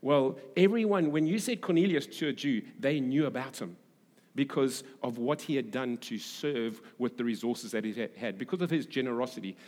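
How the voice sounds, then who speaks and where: A man from Durban with a South African English accent, preaching as a pastor in a conversational, halting way with repeated phrases for emphasis. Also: mid-range voice, a little mellow. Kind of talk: sermon with scripture reading and conversational asides. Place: large reverberant hall, preaching to a congregation. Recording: echoey hall with long reverb.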